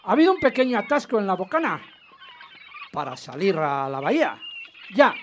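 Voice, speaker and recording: A man's voice: sits high, very animated, moderate pace. An actor playing a chatty, boastful man in his eighties, a retired financier and yachtsman calling a radio show. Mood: cheerful, chatty. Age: 80s. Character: spry, chatty 82-year-old widowed retired financier and yachtsman. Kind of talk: radio comedy drama, phone-in caller. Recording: faint noise, harbour gulls behind.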